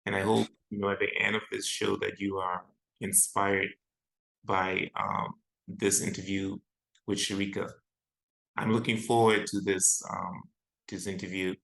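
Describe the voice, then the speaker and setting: A man's voice: low-pitched, little pitch movement, unhurried, creaky, fairly bright tone, poor audio. A man hosting a talk show, giving a formal welcome and dropping in short interjections.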